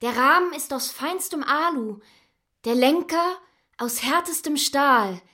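Woman as a chatty cheerful girlfriend, high voice, bubbly, oblivious. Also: expressive, unhurried, pushed and bright, heavily acted.

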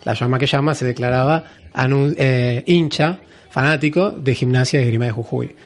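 A man's voice: medium pitch, moderate pace. Argentine man explaining in a casual chat. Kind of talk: casual conversation.

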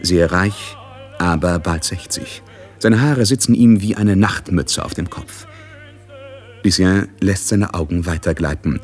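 An actor narrating a German radio play as a wryly observant storyteller, in scripted literary style. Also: male voice, low voice, a little slow, soft background music. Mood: observant, measured.

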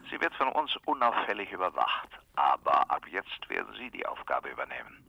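An actor playing a brusque, impatient police inspector in a German radio crime drama, curt and official. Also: male voice, a bass voice, huge pitch swings, brisk, vocal fry, dry recording, dull audio, full character voice. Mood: businesslike.

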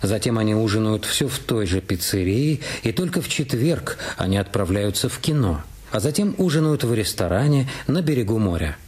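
A man's voice: on the low side, expressive, brisk, hardly any pauses, a little mellow, slightly rough. A man reading scripted narration for a radio detective drama. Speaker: radio play narrator and actor.

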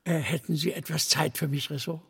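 A man's voice: fairly high pitch, steady tone, fairly quick, vocal fry, slightly rough, dry recording, clean studio sound, soft background music, exaggerated voice. An actor playing a weary, resigned old newspaper man in a radio play, hesitant, rambling and confiding. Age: older. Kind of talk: literary German radio play dialogue.

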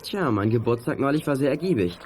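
An actor playing an earnest, know-it-all teenage schoolboy in a youth audio drama, explaining things matter-of-factly. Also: male voice, medium pitch, lively intonation, quick pace, a little mellow, natural voice. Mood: self-assured. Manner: matter-of-fact, lecturing.

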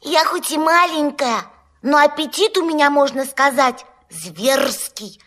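An actress playing a brisk, proud little female bat in a children's audio play, explaining things in a matter-of-fact, slightly impatient way. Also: sits high, lively intonation, a bit bright.

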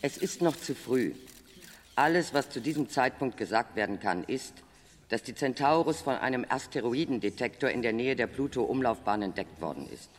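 Defensive male agency spokesperson, played by an actor: animated intonation, pointed and formal, long hall reverb. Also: mid-range voice, normal speed, close to an everyday voice. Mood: defensive, impatient. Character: defensive, officious space agency press spokesperson. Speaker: radio drama actor. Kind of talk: press conference statement in radio drama.

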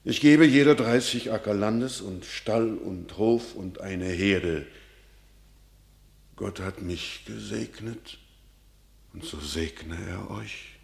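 A man's voice: lowish voice, takes it slowly, gravelly. An actor playing an old, pious peasant father, brooding and anxious, declaiming classical verse solemnly in a radio drama. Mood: benevolent, resolute.

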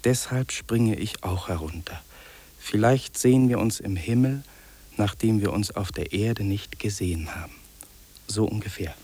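A man's voice: fairly low pitch, a little slow, darkish tone, slightly rough. An actor playing a lonely, despairing young man in an earnest, anguished 1950s German radio play. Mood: sombre, confiding.

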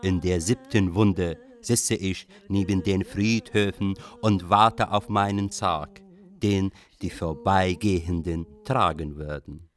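Low-voiced young Iraqi refugee, narrating. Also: male voice, fairly even intonation, slow pace. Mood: sober, reflective. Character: weary young Iraqi refugee recounting his odyssey. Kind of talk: literary radio play narration.

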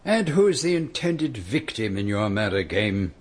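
An actor playing a courteous, precise, dryly understated gentleman detective with a Belgian French accent in a radio drama. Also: lowish voice, sing-song, normal speed, husky, no room sound. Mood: polite, curious. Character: courteous, observant middle-aged foreign gentleman detective. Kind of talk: radio drama acting and narration.

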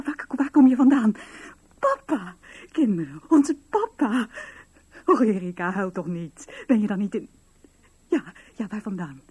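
A woman's voice: a little high, darkish tone, exaggerated voice. An actress playing an intense, emotional young wife and mother in a 1970s radio drama. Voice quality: very breathy, husky.

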